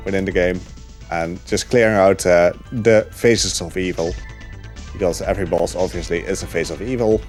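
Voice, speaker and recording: A man with a fairly low voice explains his speedrun as he plays. He talks in an easygoing, chatty way, with frequent "uh"s between thoughts. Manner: relaxed, chatty, many fillers. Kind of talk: live gameplay commentary.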